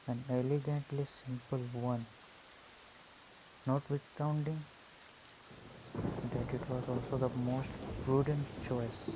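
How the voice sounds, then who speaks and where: Murky, halting gamer with noisy hiss. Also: mid-range voice. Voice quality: murky, muffled. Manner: halting, musing, many hums. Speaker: gamer commenting on own gameplay. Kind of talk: casual gameplay commentary. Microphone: phone earphone mic.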